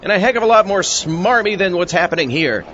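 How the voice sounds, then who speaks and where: A skeptical sidekick and host played by a man with a high, lively voice. The comic audio drama is muffled, with clear background noise. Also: brisk. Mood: wry announcing. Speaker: podcast host. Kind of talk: comedic audio drama acting.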